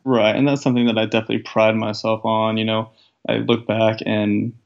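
A man in his twenties, a guest in an interview, speaking in a casual, reflective way with a deep, raspy voice. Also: little pitch movement, brisk. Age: 20s. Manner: reflective, thoughtful, many fillers. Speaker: guest, professional soccer player. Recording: dull lo-fi sound.

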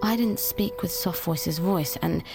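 Audio drama lead: troubled woman, earnest, literal-minded, natural delivery, lowish pitch over music. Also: measured pace, creaky. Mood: puzzled. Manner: hesitant, earnest, at times hushed.

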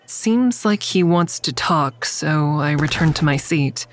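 A woman's voice: deep voice, animated, slow. An actress playing an anxious, earnest crisis counselor, telling her story in a hesitant, introspective first-person style for an audio drama. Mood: anxious narration.

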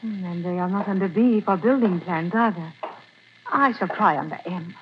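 A woman's voice: fairly low pitch, normal speed, lightly acted. A woman playing a stiff, formal librarian. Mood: precise. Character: formal older female librarian with foreign airs. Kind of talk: 1940s radio acting. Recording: dull lo-fi 1940s radio recording with hiss.

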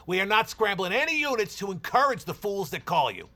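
A man's voice: sits high, very animated, a little fast, bright, forceful tone, exaggerated voice. An actor playing a pompous, exasperated male call-center supervisor with a self-important, officious manner in an audio drama. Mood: stern, self-important.

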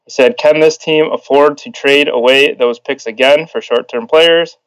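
A man co-hosting a casual sports podcast, talking in a relaxed way with some fillers. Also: medium pitch, normal speed, clean tone, dry recording, low-quality recording. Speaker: podcast co-host.

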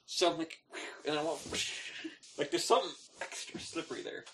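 A man co-hosting a podcast, bantering casually in slangy, goofy and excitable storytelling. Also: fairly high pitch, a little fast, slight background noise. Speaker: podcast co-host.

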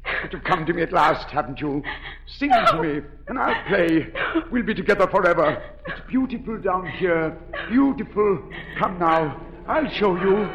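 A man's voice: high voice, huge pitch swings, measured pace, fairly bright tone, heavily acted. An actor playing a deranged, lovesick masked man of the cellars, coaxing and obsessive, in 1940s radio drama style. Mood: pleading, obsessive. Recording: dull, noisy 1940s radio broadcast.

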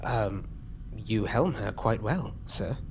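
A man's voice: fairly low pitch, animated, takes it slowly, noisy background. Serious, courteous male ship engineer. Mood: deferential. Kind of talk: naturalistic audio drama acting.

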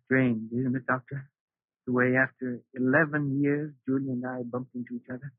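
An actor playing a regretful, lovesick husband in an old radio drama, earnest and pleading. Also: male voice, medium pitch, level tone, moderate pace, slightly rough, dry recording, natural voice. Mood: reflective. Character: lovesick, regretful, unhappily married man recounting his past. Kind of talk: 1940s radio drama acting. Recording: muffled, remastered old radio recording.

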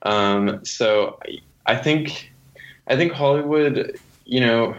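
A man speaking as a guest author in an interview, answering conversationally in a thoughtful way with hesitant pauses. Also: mid-range voice. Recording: dull lo-fi sound.

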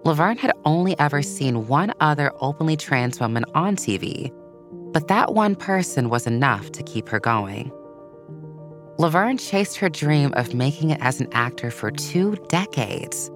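A woman with a very deep voice narrates a scripted story for children. Music plays clearly behind her as she reads. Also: lively intonation, unhurried.

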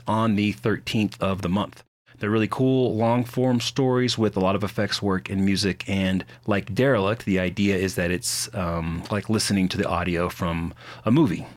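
A man's voice: on the low side, normal speed. A man hosting a podcast, reading announcements in an informal, conversational way. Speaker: podcast host. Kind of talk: casual scripted announcements.

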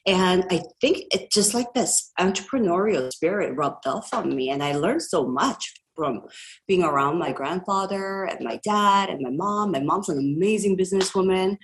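A woman's voice: fairly low pitch, animated, normal speed. A woman, speaking as a guest in a casual podcast interview, chatty and rambling with frequent fillers and laughter. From South Korea. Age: 40s. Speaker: guest entrepreneur.

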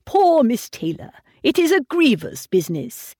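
A woman voicing a fretful, gloomy elderly gentleman in a storybook reading. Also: sits high, very animated, unhurried, breathy edge, no echo, studio quality, voice pushed up, full character voice. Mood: mournful.

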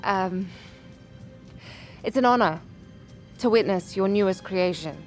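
An actress playing a gracious, admiring woman at a dinner party in an audio drama, informal and halting. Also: medium pitch, faint music behind, close to an everyday voice. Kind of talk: modern audio drama acting.

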